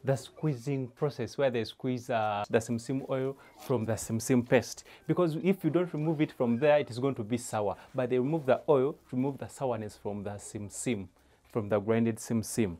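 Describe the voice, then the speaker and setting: Young man, mellow tone, mid pitch, casual vlog host, halting delivery with repeats. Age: young adult.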